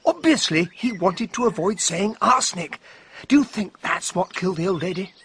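An actor playing an earnest English gentleman, a detective's loyal sidekick, plain-spoken and husky, in a radio drama. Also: sits high, animated, normal speed, slight background noise. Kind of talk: radio drama acting and narration.

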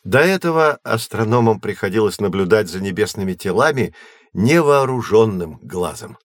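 A man as a lowish-voiced, patient science guide. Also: lively intonation, dry recording, lightly acted. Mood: patient, instructive. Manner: didactic, measured. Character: learned, patient adult guide explaining science to children. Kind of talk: scripted explanation in children's educational audio drama.

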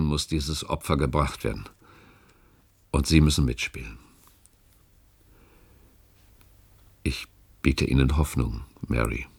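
Grave older secret chief, radio play, gravelly, very deep, deliberate, persuasive. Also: male voice, full character voice. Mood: solemn, urging. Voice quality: gravelly, husky. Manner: deliberate rhetorical persuasion with weighty pauses. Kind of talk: German radio play acting.

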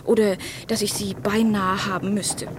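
An actress playing a witty, sharp-tongued lady-in-waiting, haughty, ironic and articulate, in a German period radio play. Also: mid-range voice, flat delivery, normal speed, background noise, natural voice. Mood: mocking, amused. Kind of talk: German radio drama acting, period piece.

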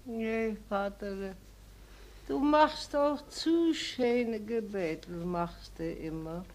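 Very slow, meek old woman. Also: medium pitch. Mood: meek, tender. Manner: halting, meek, dialect-coloured. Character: frail, blind old mother, meek and adoring. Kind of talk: German radio play acting.

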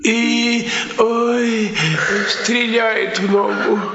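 Creaky, plaintive old pilgrim. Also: male voice, extremely high pitch, little pitch movement, very slow, fairly bright tone, full character voice. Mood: pained. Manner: groaning, plaintive. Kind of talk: theatrical radio drama. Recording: muffled, echoey, reverberant radio recording.

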